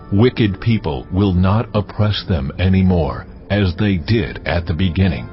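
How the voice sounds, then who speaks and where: The almighty lord, slow measured decrees, muffled recording, music behind. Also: male voice, low-pitched. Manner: measured, authoritative declaration. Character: God, solemn almighty Lord giving decrees. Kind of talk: dramatized scripture reading. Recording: muffled, band-limited audio.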